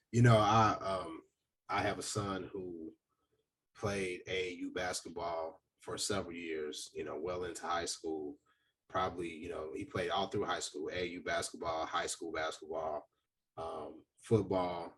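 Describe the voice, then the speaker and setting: A man with a big booming voice, hosting a casual podcast discussion in an earnest, reflective way with frequent fillers. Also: slightly rough, recorded from a distance, low-quality recording. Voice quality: deep, booming voice. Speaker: podcast host.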